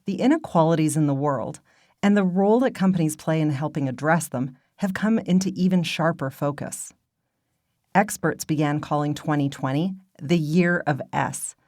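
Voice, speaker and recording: A woman hosting a podcast, giving scripted narration in a polished, measured delivery. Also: deep voice, darkish tone, no room sound, clean studio sound. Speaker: podcast host.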